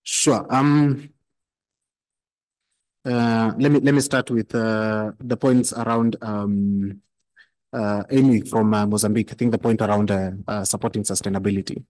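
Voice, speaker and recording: A man on a conference panel answers questions into a desk microphone, hesitant and full of fillers. His voice is fairly low. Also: steady tone, a little slow, darkish tone, low-quality recording. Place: conference hall at a panel table.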